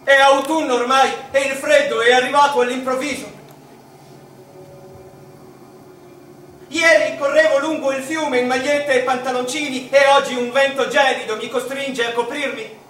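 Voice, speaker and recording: High-voiced, eccentric mathematician, echoey. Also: male voice, steady tone, brisk, fairly bright tone. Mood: wistful. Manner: confiding, rambling, emotional. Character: eccentric, childlike, sad mathematician writing to his mother. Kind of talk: theatrical radio monologue. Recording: echoey, distant, noisy stage recording.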